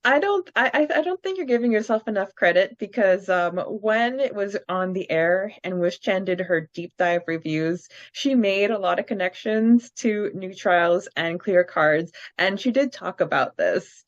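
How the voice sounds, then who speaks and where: A woman's voice: mid-range voice, no echo. Woman podcast host on a dull lo-fi mic. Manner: reads questions aloud, quick bursts, laughs often. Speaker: podcast co-host reading listener answers. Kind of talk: casual podcast chat. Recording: dry, dull lo-fi sound.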